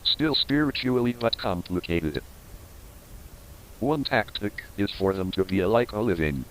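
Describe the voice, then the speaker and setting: A man's voice: on the low side, expressive, unhurried, a bit bright, slight background noise, rough recording. A man narrating a scripted instructional horror tape in a formal, explanatory manner.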